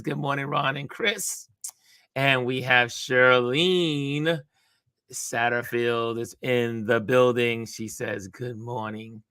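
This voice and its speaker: A raspy-voiced man hosts a casual live stream and reads out viewer greetings. He talks slowly, and his tone is bright and forceful. The sound is dry and close. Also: mid-range voice, dry recording. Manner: reads viewer comments aloud, upbeat shout-outs. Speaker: host.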